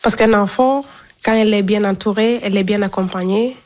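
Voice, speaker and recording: A woman's voice: mid-range voice, fairly quick, darkish tone. A young woman being interviewed, giving a casual, personal account of her own life. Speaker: interviewee in a radio documentary.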